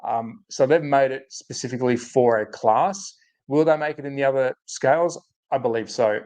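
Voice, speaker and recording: An Australian man with a slightly croaky, under-the-weather voice, hosting a casual livestream and thinking aloud as he chats to viewers. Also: medium pitch, moderate pace, a little mellow. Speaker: host of a hobby livestream. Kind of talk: casual live chat to viewers.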